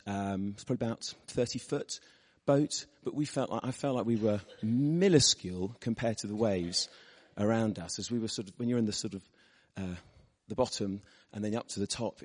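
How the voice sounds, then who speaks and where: A preacher with a hoarse, husky voice, giving a chatty sermon with personal stories to a church audience. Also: male voice, fairly low pitch, a little mellow. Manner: chatty, storytelling, asks the audience. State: hoarse from losing his voice. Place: church hall. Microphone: microphone. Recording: reverberant church hall.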